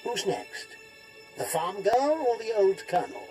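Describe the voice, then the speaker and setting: A game villain, a sadistic Sith with a fairly high voice. He speaks with mock courtesy, savoring each taunt theatrically, over light music. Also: lively intonation, measured pace. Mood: gloating. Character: gloating sadistic male Sith villain, mockingly polite. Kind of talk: video game cutscene acting.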